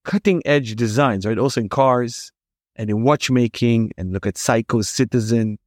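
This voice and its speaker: A man's voice: mid-range voice, moderate pace, no echo, studio quality. A man with a Dutch accent hosting a podcast, asking eager questions in casual interview chat. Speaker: podcast host.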